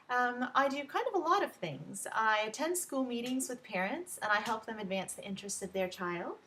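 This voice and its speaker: A woman's voice: medium pitch, fairly bright tone. A woman from Texas with an American Texan accent, giving an informal explanatory introduction to her work as an advocate and coach. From Texas, United States. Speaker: education advocate, coach and tutor introducing own service. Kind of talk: informal explanatory talk to camera.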